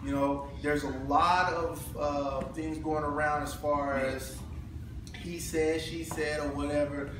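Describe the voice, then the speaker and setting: A man, co-hosting a casual chat about home buying as a realtor, talking in an animated way with playful banter and repeated phrases. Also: on the high side, takes it slowly. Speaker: realtor co-host. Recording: echoey room from far off, noisy.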